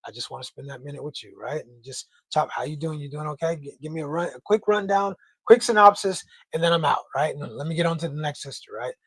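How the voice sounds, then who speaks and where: A man's voice: on the high side, fast talker, few pauses, fairly bright tone, husky, no room sound. An American man co-hosting a livestream, chatting casually in slangy talk and reading out viewer comments. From United States. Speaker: livestream co-host. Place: indoor home livestream.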